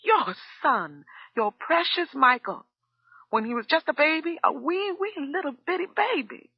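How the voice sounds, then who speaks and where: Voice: very animated, normal speed, breathy edge, no room sound, dull audio. A reader voicing a furious middle-aged woman cook who screams accusations and then breaks down crying, in an audiobook. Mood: sobbing. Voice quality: shrill, screaming. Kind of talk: audiobook character voice.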